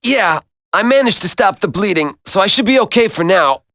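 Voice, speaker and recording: A man's voice: sits high, expressive, normal speed, a bit bright, dry recording, studio quality. A man voicing a brave, injured young soldier in a video game, strained but reassuring. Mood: pained, brave.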